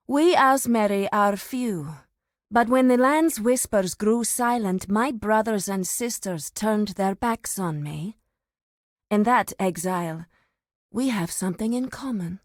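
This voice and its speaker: Solemn female grove warden, fantasy game, slow delivery, commanding, formal, dry close recording. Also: medium pitch, dry recording. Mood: grave, proud, then stern, appraising. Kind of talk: scripted fantasy game dialogue.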